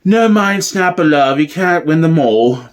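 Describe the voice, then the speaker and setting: A man's voice: a little high, expressive, normal speed, close to an everyday voice. A man voicing a chummy, fussing police constable in theatrical backstage comedy dialogue.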